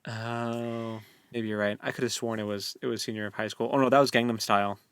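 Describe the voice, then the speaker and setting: A young American man chats casually as a co-host, in a close, dry recording. His pitch sits in the middle for a man, and he retells things in a jokey, conversational way. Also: level tone, fairly quick, a bit bright, clean tone, no room sound. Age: late 20s. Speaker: podcast co-host. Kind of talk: casual book club chat.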